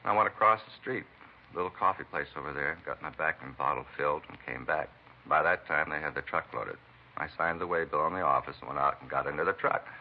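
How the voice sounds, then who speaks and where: A man's voice: extremely low pitch, brisk. An actor playing a beaten, talkative American truck driver in a vintage radio drama, rambling and folksy in plain working-man talk. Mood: pained, chatty. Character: beaten truck driver, talkative, folksy, eccentric self-styled bump-reader. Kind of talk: 1940s-50s radio acting. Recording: muffled vintage radio recording, faint hiss.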